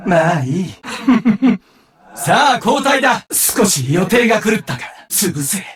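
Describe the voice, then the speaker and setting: A man's voice: high voice, sing-song, unhurried, a bit bright, full character voice. A man playing a menacing, vengeful young duelist villain in an anime card game, with a theatrical, taunting delivery. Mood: sadistic, taunting. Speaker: voice actor. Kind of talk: Japanese anime game character lines.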